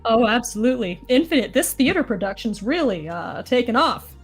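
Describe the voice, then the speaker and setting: A woman's voice: on the high side, sing-song, a little fast, breathy edge. A woman voicing a confident, flirty cartoon bat, teasing and theatrical as she reads lyrics. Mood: playful, teasing. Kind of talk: playful character reading of musical lyrics. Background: music.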